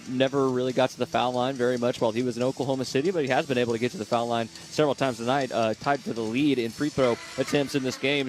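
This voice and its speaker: A man giving conversational live basketball analysis on radio, repeating words as he reasons aloud. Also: medium pitch.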